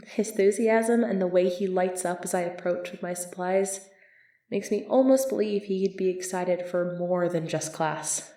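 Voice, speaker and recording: A woman's voice: fairly low pitch, measured pace, warm tone, dry recording, natural voice. A woman playing an earnest, easily flustered medieval knight devoted to her prince, speaking politely and formally in an ASMR roleplay. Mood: wistful, fond. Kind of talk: ASMR roleplay acting, formal old-fashioned speech.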